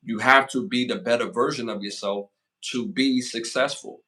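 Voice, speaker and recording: A man in his forties hosting a casual podcast, giving motivational advice and shout-outs, repeating phrases for emphasis. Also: medium pitch, steady tone. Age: 40s. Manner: hypes the chat with rapid shout-outs. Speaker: podcast host.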